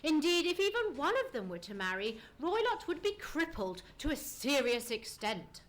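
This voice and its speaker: A woman playing a shrewd, assured gentleman detective, formal and precise, in a live radio play. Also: high voice, very animated, normal speed. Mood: brisk, serious. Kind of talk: live old-time radio play acting.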